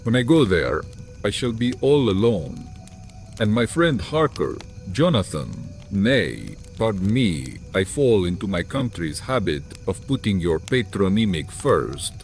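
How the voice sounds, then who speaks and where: Slow, deep, courtly vampire Count. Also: male voice, sing-song, faint music behind. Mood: courteous, probing. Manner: formal, archaic, dramatic phrasing. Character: courtly, menacing old foreign Count, proud vampire nobleman. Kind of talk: dramatized gothic audiobook acting.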